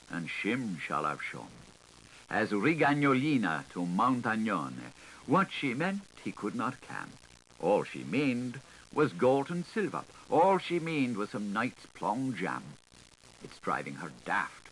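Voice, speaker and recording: A man's voice: on the low side, very animated, slow pace, fairly bright tone, low-quality recording. A man narrating a literary audiobook in a theatrical, lilting, singsong manner. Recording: hissy 1980s audiobook recording.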